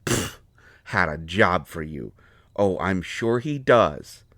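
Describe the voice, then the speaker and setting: A man playing an indignant, hurt healer mage in a fantasy roleplay audio, expressive, sarcastic and exasperated. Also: low-pitched, takes it slowly, no echo, heavily acted. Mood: jealous, bitter. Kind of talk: dramatic roleplay audio acting.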